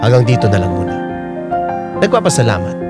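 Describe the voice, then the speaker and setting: A man reading a letter as a dramatic first-person radio narration, sentimental and expressive. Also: medium pitch, fast talker. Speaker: radio show letter reader. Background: loud music, piano.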